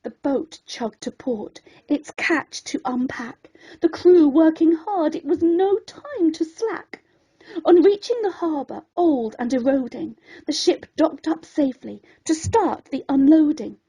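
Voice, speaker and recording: A woman reading a rhyming children's bedtime story in a dramatic, expressive way. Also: high-pitched, unhurried, muffled recording.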